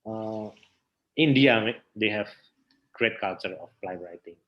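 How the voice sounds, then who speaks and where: A man with an Indonesian accent speaks as a guest in a casual interview. His voice sits on the low side. He builds sentences haltingly, repeating words before moving on. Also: lo-fi sound. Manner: halting, repeats words, non-native English. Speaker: interview guest, theater researcher and actor.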